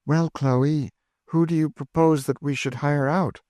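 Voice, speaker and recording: A man voicing a kind, composed mistress of a household, speaking in a measured, proper way in a storybook reading. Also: fairly high pitch, steady tone, darkish tone, dry recording, clean studio sound, pitched above the performer's own voice, lightly acted. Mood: gentle.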